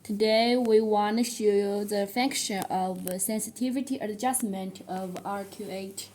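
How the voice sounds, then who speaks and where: A woman who speaks English as a second language, presenting a product tutorial in a halting, step-by-step way. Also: medium pitch, steady tone, darkish tone, slight background noise. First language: not English. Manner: halting, step-by-step delivery with non-native phrasing.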